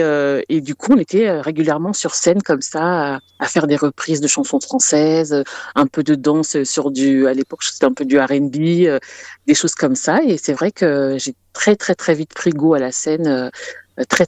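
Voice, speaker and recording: A woman's voice: sits low, normal speed, darkish tone, no echo. A young woman with a French accent, chatting in a storytelling way as she answers interview questions as a guest singer. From France, Paris region.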